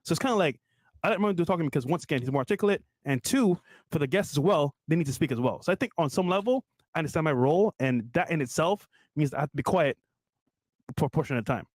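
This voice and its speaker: A man, a podcast co-host in a played clip, calmly explaining his quiet role on the show. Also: a little high, quick pace, no echo. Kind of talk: podcast talk.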